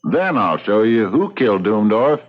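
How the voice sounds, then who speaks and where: An actor playing a laconic, knowing frontier lawman in a radio drama, terse and deliberate. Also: lowish voice, sing-song, heavy background noise. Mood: cryptic, grave. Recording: slightly dull radio drama recording.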